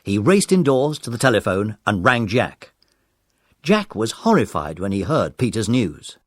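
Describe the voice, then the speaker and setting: A man's voice: fairly low pitch, big pitch swings, unhurried, dry recording, studio quality. A man reading scripted narration for a children's audiobook drama.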